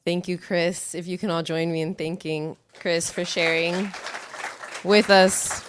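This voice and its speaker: Courteous low-voiced woman moderator. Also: level tone, a little slow, background noise. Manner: courteous, organizing. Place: event venue before an audience.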